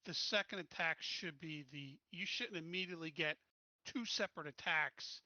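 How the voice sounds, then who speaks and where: A man playing a tabletop game, blunt and quick to complain about the rules. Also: on the high side, pushed and bright, dry recording, highs cut off. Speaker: player. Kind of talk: casual gaming chat.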